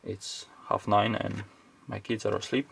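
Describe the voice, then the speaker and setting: A man's voice: on the low side, steady tone, measured pace, gravelly, a bit bright. A man, probably middle-aged, talking casually and hesitantly, rambling as he thinks aloud through an unscripted hands-on demonstration. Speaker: video creator, electronics hobbyist. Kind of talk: casual unscripted teardown narration. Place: indoors at a home workbench. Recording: faint background noise with clicks.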